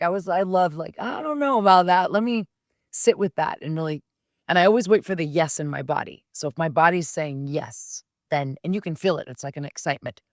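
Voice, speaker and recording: A woman's voice: lowish voice, no room sound, studio quality. Blunt, profane woman in her fifties. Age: 50s. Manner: blunt, profane, animated and emphatic. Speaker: podcast host. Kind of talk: casual personal storytelling.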